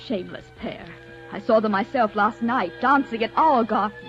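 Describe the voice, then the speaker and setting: Actress as scandalized prim gossip, old radio. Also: female voice, on the high side, normal speed, natural voice. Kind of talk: 1940s radio drama acting. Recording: muffled vintage radio with music.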